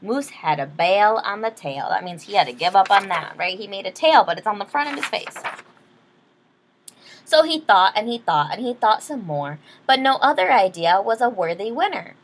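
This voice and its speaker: A woman reading a children's picture book aloud expressively, pausing to explain things to young listeners. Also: mid-range voice, expressive, slight background noise. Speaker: read-aloud storyteller.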